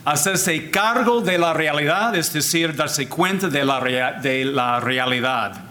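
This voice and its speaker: An older man, a Jesuit priest whose first language is English, giving a deliberate, formal talk with frequent restarts. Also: a little high, bright, forceful tone. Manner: deliberate, repeats and restarts words, switches to English phrases. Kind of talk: slow formal lecture.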